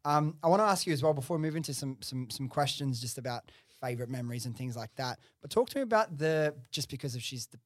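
A man hosting a podcast, asking eager questions and nodding along with short affirmations. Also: medium pitch. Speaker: podcast host. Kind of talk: interview questions.

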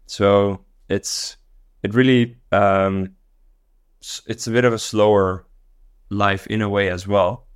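A man who grew up in Tanzania, a guest on a podcast, talking in a relaxed, thoughtful way with many fillers and restarts. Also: lowish voice, takes it slowly, smooth, dry recording, clean studio sound. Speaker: guest, company co-founder. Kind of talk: casual podcast interview.

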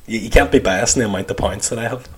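Low-voiced man thinking aloud. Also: racing, husky. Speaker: podcast co-host. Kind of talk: casual chat.

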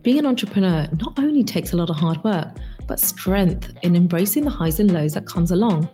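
A woman's voice: lowish voice, expressive, fairly quick, mellow, soft background music. A woman with a London accent, hosting a podcast with a polished, enthusiastic delivery of her intro and questions. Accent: London English. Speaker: podcast host. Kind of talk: scripted intro and interview.

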